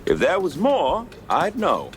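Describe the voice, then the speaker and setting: An actor playing a testy, self-important political insider in a noir cartoon game, brusque and condescending in a 1930s manner. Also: male voice, a little high, huge pitch swings, takes it slowly, a little hiss or hum, heavily acted. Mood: defensive, brusque.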